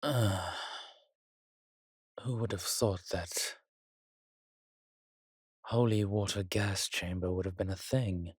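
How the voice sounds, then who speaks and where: An actor playing a weary, wry, courtly vampire in a roleplay audio drama, speaking haltingly to the listener in a refined, slightly pompous manner. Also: male voice, sits low, slightly rough, dry recording, clean studio sound, full character voice. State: weakened, recovering.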